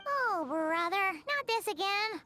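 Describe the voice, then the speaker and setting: Casting: a squeaky pint-sized fairy sidekick for a game, extremely high and swooping, easily exasperated, music behind. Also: female voice, lively intonation, takes it slowly, heavily acted. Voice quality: squeaky, childlike. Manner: whiny, exclamatory, talks in third person. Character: tiny childlike female fairy companion, excitable, easily exasperated. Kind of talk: animated video game voice acting. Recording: clear music under the voice.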